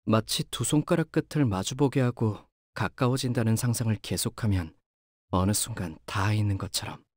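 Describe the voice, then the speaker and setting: An actor playing a timid, polite young male fighter in a video game, hesitant and soft-spoken but capable of cold focus. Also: medium pitch, no echo, studio quality. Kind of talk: Korean video game character voice lines.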